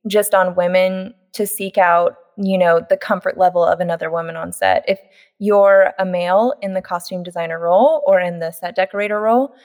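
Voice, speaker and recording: A woman hosting a podcast, talking in a relaxed, agreeable way with casual fillers. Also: on the low side, fairly quick. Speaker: podcast host.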